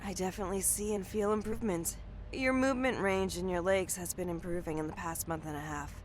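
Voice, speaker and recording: A woman playing a caring but firm doctor in an audio drama, speaking formally and gently. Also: fairly low pitch, a little fast, a little hiss or hum, close to an everyday voice.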